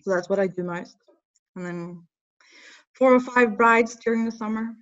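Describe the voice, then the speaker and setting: A Norwegian woman in her thirties, with an accent mixing Norwegian and Bristol English, speaking haltingly as an interview guest and laughing often. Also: a little high. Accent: Norwegian mixed with Bristol English. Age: 30s. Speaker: hair and makeup educator. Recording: dull lo-fi recording.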